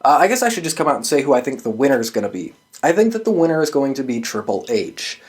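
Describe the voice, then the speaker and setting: A man's voice: medium pitch, very animated, fast. A man hosting a predictions show, giving casual, opinionated commentary with plenty of swearing. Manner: sweary, opinionated fan talk. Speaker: host of a wrestling predictions show.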